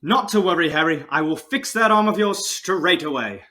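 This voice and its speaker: An actor playing a pompous, blustering wizard professor with false confidence in an audio drama. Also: male voice, fairly high pitch, lively intonation, moderate pace, pushed and bright. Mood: smug, booming. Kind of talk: fan audio drama acting.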